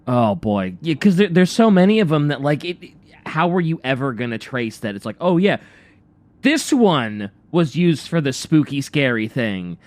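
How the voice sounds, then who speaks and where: A man co-hosting a radio show with casual, reactive banter, rambling and haltingly repeating his phrases. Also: on the high side, very animated, a little mellow, no room sound.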